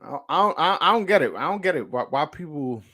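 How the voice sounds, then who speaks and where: A man's voice: on the high side, animated, fast, bright, forceful tone. A man with a New York accent hosting a boxing livestream, chatting casually, swearing and stammering through restarts. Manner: slangy, profane, lots of stammered restarts. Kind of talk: casual livestream chat, reacting to viewer comments.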